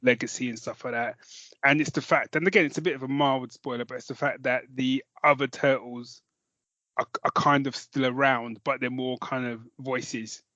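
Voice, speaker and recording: A British man with a mid-pitched voice talks as a podcast co-host. He is informal, sweary and enthusiastic. The sound is very dry and slightly dull. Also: fairly even intonation, moderate pace, pushed and bright, dry recording. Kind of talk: casual chat about comics.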